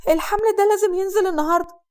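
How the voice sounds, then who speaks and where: A woman's voice: extremely high pitch, rapid-fire, darkish tone, pitched above the performer's own voice. A woman voicing a distraught young bride, pleading and agitated.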